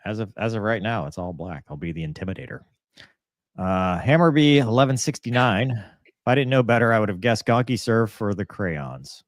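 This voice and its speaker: A man's voice: lowish voice, expressive, normal speed, no room sound, studio quality. A man co-hosting a casual live stream, reading viewer comments aloud and joking around. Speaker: co-host reading viewer chat.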